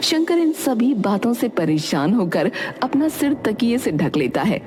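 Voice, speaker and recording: A woman's voice: a little high, very animated, measured pace, a little mellow, slight background noise. A woman delivering scripted narration for a cartoon fairy tale in a storyteller's style.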